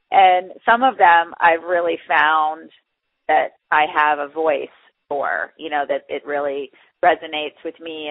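Gravelly woman host on a tinny line. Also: low-pitched, no room sound. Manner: rambling, chatty, laughs often, many fillers. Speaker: podcast host. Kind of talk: casual interview chat.

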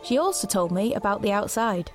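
A young woman played by an actress narrates her life in a sci-fi drama. Her medium-pitched voice is confiding and wry, with soft music behind it. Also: expressive, a little fast, close to an everyday voice. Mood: reflective. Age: young adult. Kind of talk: first-person scripted drama narration.

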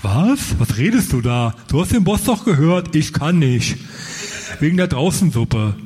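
A dim, obedient henchman in a comic radio play, acted by a man. His voice is raspy and fairly high, with animated rises and falls. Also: measured pace, heavily acted. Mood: confused, stubborn.

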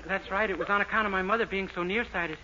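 A man's voice: high voice, fairly even intonation, fast talker, crowd noise, lightly acted. A man acting a naive, polite, earnest young man in a 1940s radio comedy. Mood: eager, innocent. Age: young adult. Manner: earnest, innocent, literal-minded. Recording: noisy, muffled 1940s radio recording.

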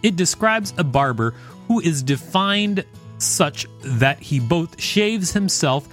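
Man, podcast host, animated pitch, article reading, informal joking commentary, faint music underneath. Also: slow pace.

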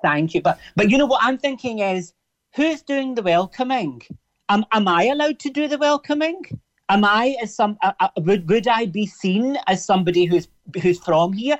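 A man with a Glaswegian Scottish accent, a radio guest on the phone, talking in a halting way and often repeating and restarting his words. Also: high voice, lively intonation, dull audio. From Scotland, Glasgow. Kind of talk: casual radio chat.

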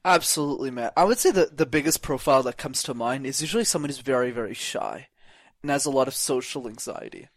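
A young man talking as a speaking coach in a podcast conversation, energetic and acting out quoted voices, with casual words like "man" and "brother". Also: medium pitch, fast, dry recording, clean studio sound. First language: not English; speaks French as second language.

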